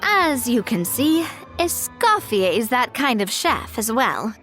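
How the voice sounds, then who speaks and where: A woman's voice: a little high, big pitch swings, takes it slowly, a bit bright, faint music behind, heavily acted. A woman playing a friendly, poised young woman in a video game, easygoing and wry as she explains things.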